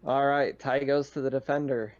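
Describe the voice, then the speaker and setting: Halting man with a low-pitched voice. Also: steady tone, a little mellow, no echo, dull audio. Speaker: game master. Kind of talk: narrating a tabletop roleplaying game, casual.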